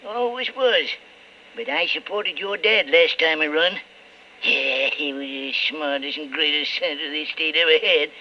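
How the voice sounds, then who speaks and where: An actor playing a small, wizened, sickly political boss, folksy and demanding, in old-time radio drama style. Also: male voice, on the high side, normal speed, natural voice. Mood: folksy, sly. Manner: folksy, wheedling, blustering. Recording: dull, hissy old radio recording.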